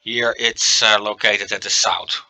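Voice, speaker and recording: A man with a Dutch accent, a native Dutch speaker, giving relaxed gameplay commentary and thinking aloud with many fillers. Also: on the low side, a little slow, faint music behind, low-quality recording. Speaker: let's play commentator.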